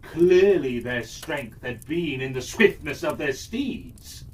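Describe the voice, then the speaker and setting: Guy reading a kids fairytale, really slow n lively pitch, bit far from the mic. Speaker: storyteller, narrator. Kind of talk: scripted children's fairytale narration. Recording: distant mic with faint background noise.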